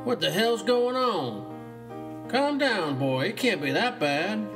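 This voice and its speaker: A man's voice: high-pitched, very animated, slow, over music, heavily acted. A man playing a folksy, hearty, trigger-happy uncle in a horror audio story. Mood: brusque reassurance. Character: gleeful, folksy, trigger-happy uncle who hunts zombies.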